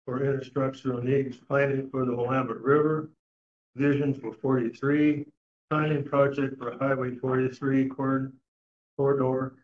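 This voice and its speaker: An older man giving a public comment at a meeting, speaking haltingly with many fillers. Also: medium pitch. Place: meeting room. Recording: dull lo-fi meeting recording.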